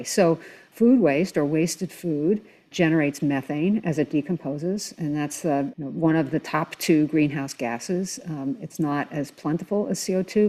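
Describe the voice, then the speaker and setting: An adult with a middle-range voice talks as an expert guest on a talk show. The delivery is conversational and explanatory, laying points out in a measured way with plain examples. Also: mid-range voice, warm tone.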